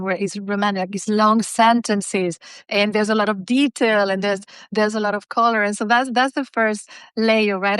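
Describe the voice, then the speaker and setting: A woman's voice: medium pitch, a bit bright, smooth, dry recording. A woman whose first language is Spanish, answering an interview question fluently and articulately over a video call. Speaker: guest author and speaker.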